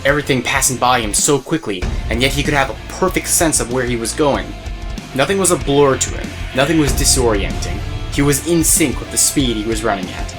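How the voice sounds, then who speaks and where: A male narrator reads a scripted adventure story. His voice sits at a medium pitch. Music plays clearly behind him. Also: lively intonation, brisk.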